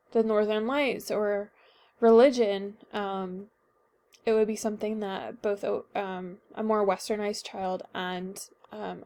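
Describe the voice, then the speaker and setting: Young Northern Irish woman, a bit under the weather, hesitant student presenter full of ums. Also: mid-range voice. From Northern Ireland. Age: young adult. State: a little sick. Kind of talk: class presentation.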